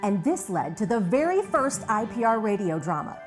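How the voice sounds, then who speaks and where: A woman's voice: medium pitch, sing-song, normal speed, faint music behind. An American woman presenting a scripted TV retrospective as its host. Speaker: host of a radio drama retrospective, voice actor.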